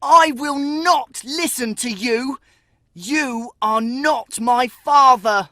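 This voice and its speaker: A woman's voice: sits high, slow, pushed and bright, dry recording, studio quality, exaggerated voice. A woman playing a proud, cruel young boy in a storybook tale, speaking with scornful, imperious emphasis. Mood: defiant. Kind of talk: dramatised storybook reading.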